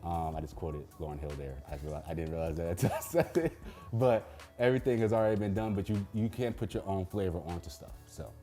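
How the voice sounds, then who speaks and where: A young man from Baltimore who directs films and music videos, answering questions in a relaxed, laid-back way with many pauses and fillers. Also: sits low. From Baltimore, Maryland. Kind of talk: casual Q&A chat.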